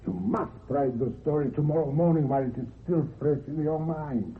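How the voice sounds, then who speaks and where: An actor playing a stern, controlling old grandfather who speaks deliberately and insistently in a radio drama. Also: fairly high pitch, lightly acted. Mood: grave, commanding. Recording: tinny narrow-band old radio recording.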